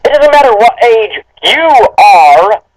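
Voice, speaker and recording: High-pitched man bantering through a reading. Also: big pitch swings, slow pace, rough recording. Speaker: co-host of a comedic dramatic reading. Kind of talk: casual joking banter and mock reading.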